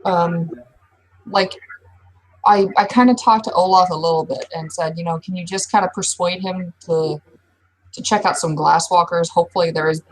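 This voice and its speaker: A woman playing a guarded, mouthy werewolf woman who talks bluntly and haltingly in an improvised roleplay. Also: fairly low pitch, slight background noise, close to an everyday voice, poor audio. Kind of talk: improvised in-character roleplay dialogue.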